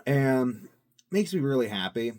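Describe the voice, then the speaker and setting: A young man talking casually to camera, wandering from thought to thought and swinging between loud bursts and mumbled asides. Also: medium pitch, very animated, unhurried. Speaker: vlogger. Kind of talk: casual rambling monologue.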